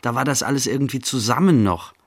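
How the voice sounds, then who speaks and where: An actor playing a pensive, serene man in a radio play, speaking a reflective literary monologue in halting fragments. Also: medium pitch, lightly acted. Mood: reflective, quiet. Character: pensive man musing inwardly, mildly serene. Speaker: actor, narrator.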